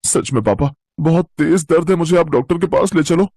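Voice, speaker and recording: Husky, bratty city boy, played by a man. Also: fairly high pitch, brisk, a little mellow, no echo. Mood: wailing. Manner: bratty, complaining. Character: greedy, whiny, boastful chubby city boy.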